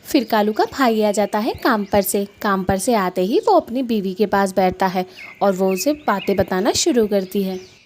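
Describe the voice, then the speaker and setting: A woman's voice: mid-range voice, moderate pace, a little mellow. A woman narrating a scripted Hindi cartoon story for children.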